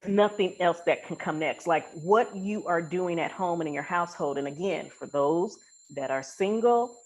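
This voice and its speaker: Woman podcast host w a slightly low voice, chatty faith talk, real informal and emphatic. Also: moderate pace, darkish tone, low-quality recording. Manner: informal, emphatic, uses everyday colloquial phrasing. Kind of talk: casual, faith-centred interview talk.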